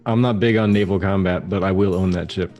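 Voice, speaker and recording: Male podcast co-host, deep mellow voice, laid-back jokey delivery. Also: steady tone, a little fast, soft background music. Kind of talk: casual podcast chat.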